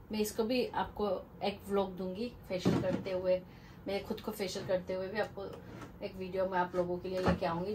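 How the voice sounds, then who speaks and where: A woman giving a casual, chatty home-tour vlog indoors, mixing in English words and phrases. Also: medium pitch. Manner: informal Hindi mixed with English words and phrases. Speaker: vlogger giving a house tour. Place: indoors in small rooms at home. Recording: distant mic with faint room noise.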